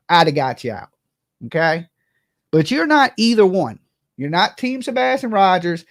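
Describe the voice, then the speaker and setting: Brash, profane livestream host, mid-pitched voice. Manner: brash, profane, tags statements with "okay?" and "right?". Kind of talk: casual livestream commentary, gossipy chat.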